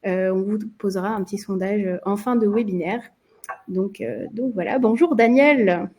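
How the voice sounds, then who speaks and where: A woman's voice: medium pitch, normal speed, darkish tone, breathy edge. A woman from France with a French accent, hosting a live webinar in a chatty way with many hesitations. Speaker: marketing presenter hosting a webinar.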